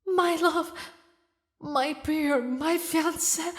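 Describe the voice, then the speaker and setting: A woman voicing a grieving, vengeful demon queen who is tender toward her lost lover, speaking dramatically and haltingly in a romantic audio roleplay, at times in a breathy whisper. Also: very high voice, bright, forceful tone, exaggerated voice. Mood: tearful, overwhelmed.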